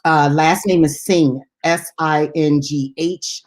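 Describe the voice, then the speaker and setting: A woman's voice: deep voice, steady tone, takes it slowly, darkish tone. A woman hosting a music show in casual chat, effusive and emphatic about what she likes. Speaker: radio show host and music DJ.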